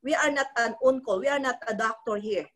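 A woman's voice: fairly high pitch, fairly quick, fairly bright tone. A middle-aged Filipino woman giving a motivational business training talk on a live video call from her living room, close to a phone mic, repeating and restarting phrases. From Philippines. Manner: repetitive, restarting, preachy. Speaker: network marketing trainer. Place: ornate living room at home. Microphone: phone close to the face.

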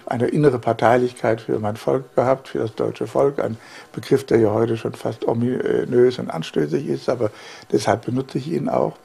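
A German man in his sixties, a journalist, giving a halting, emphatic interview answer with many fillers in a living room. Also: medium pitch, creaky, warm tone, slightly rough.

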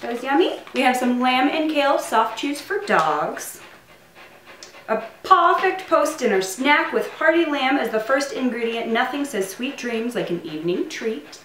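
A woman's voice: high voice, lively intonation, measured pace, a bit bright. A young adult woman hosting a casual home vlog, talking to camera and cooing playfully to her pets. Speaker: vlog host. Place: living room at home, indoors. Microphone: camera a few feet away. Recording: roomy, distant mic, faint noise.

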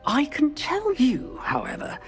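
An expressive witch professor, echoing hall. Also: sits high, creaky, heavily acted. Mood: wry, reminiscing. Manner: measured, precise, wry, authoritative. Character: wise, dignified older female professor of magic, dryly mischievous. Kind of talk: video game cutscene acting. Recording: long reverberant hall, music behind.

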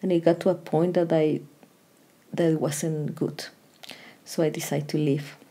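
Middle-aged female guest, Ecuadorian accent, dark husky tone, candid. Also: low-pitched, level tone, measured pace. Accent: Ecuadorian Spanish. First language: Spanish. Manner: candid, with light laughs. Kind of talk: personal storytelling interview.

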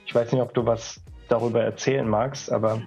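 A man's voice: mid-range voice, level tone, a little fast, creaky, a little mellow, faint music behind. A man in his forties from southern Germany, talking casually and hesitantly as a guest on a video call. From Stuttgart, Germany. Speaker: guest, marketing manager. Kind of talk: casual conversational talk. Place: indoors, office chair, video call. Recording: muffled video call audio.

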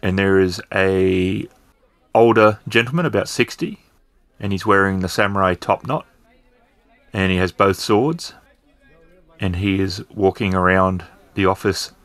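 Male game master, low register, dry sound, descriptive and a little hesitant. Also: fairly even intonation, a little slow, long pauses, a bit bright, no room sound. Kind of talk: narrating a tabletop roleplaying game.

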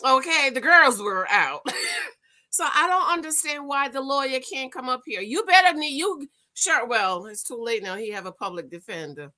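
A woman with a very high, forceful voice gives informal reaction commentary. She is animated and exclamatory, dragging out key words and repeating phrases for emphasis. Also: moderate pace. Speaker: host of a commentary channel. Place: small room at home, indoors.

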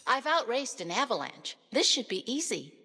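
A woman's voice: on the high side, very animated, moderate pace, pushed and bright, slightly rough, long reverb tail, full character voice. An actress playing an earnest, determined young woman warrior turned racer, in short, spirited video game lines.

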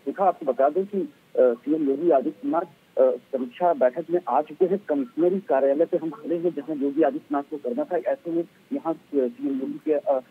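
A middle-aged man reporting live as a field news reporter, halting and wordy, with a husky voice. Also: on the high side. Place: outdoors outside a government office. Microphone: handheld microphone. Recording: muffled, narrow-band live outdoor link.

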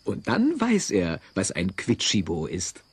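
Animated, husky male storyteller. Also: low voice, a little slow, dry recording. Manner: theatrical storytelling, wry. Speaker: narrator. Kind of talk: scripted audio drama narration for children.

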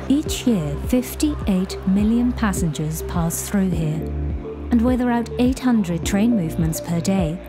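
A woman giving a measured, clear scripted narration for a corporate explainer video. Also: medium pitch, expressive, over music. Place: studio voice-over.

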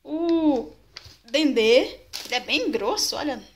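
A woman's voice: high-pitched, animated, takes it slowly. A young woman from Salvador with a Bahian Brazilian accent, chatting casually and informally to a handheld camera as a vlogger. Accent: Bahian Brazilian Portuguese. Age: young adult. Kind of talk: casual vlog chat to camera. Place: at home and walking on city streets. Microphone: handheld camera at arm's length. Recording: noisy handheld recording with ambient noise.

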